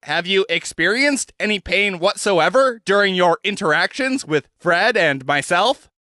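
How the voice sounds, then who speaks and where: An eager, upbeat alien host, as a man reads him, sitting well above the man's own pitch and leaping around lively. He stays polite and brisk, with a bright, dry sound. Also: no room sound, heavily acted. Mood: coaxing. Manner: brisk, enthusiastic, courteous.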